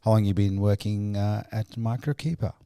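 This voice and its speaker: A man hosting an interview podcast casually, with informal, halting questions that circle before they land. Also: fairly low pitch, mellow, clean tone, no room sound, clean studio sound. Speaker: podcast host.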